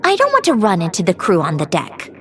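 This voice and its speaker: A woman playing an aloof, proud young woman in an anime-style video game, with a brisk, cool, skeptical manner. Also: lowish voice, huge pitch swings, a bit bright, a little hiss or hum. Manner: clipped, cool, dismissive.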